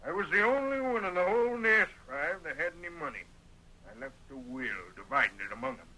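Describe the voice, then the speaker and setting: On a tinny old radio recording, a crusty old Western patriarch. His voice is very bright and forceful, set fairly high, with a folksy American Western drawl. He sounds shrewd, bossy and cantankerous. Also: expressive, heavily acted. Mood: grim recollection. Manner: folksy, cantankerous, deliberate storytelling.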